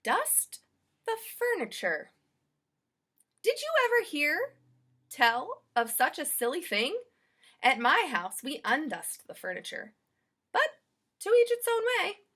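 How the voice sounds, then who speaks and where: Storybook housemaid, played by a woman narrator: high, very animated female voice, cheerful, eager, literal, folksy, leans long on key words. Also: heavily acted. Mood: puzzled. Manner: exclamatory, folksy, drawn-out emphasis.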